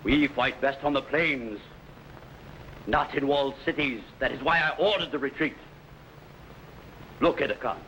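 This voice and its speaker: An actor playing a ruthless, boastful barbarian warlord in a 1950s TV serial, speaking with grand, menacing pauses. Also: male voice, mid-range voice, fairly bright tone, slight background noise, low-quality recording.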